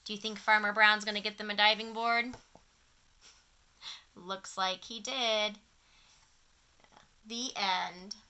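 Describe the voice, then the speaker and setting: A woman's voice: medium pitch, fairly even intonation, slow, pauses often, sharp tone. A woman reading a picture book aloud to children expressively, in simple phrasing, as a storytime reader. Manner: asks young listeners questions, simple clear phrasing.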